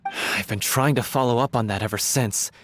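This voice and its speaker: A man voicing an earnest, heartbroken young member of a theater troupe in a scripted video game, speaking solemnly and deliberately. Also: medium pitch, fairly bright tone, natural voice. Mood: grave.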